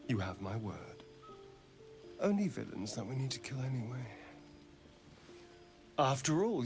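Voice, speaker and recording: Male vampire companion: charming, arch, playful, very animated game dialogue over faint music. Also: a little high, heavily acted. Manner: theatrical, arch, teasing. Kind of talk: video game character dialogue.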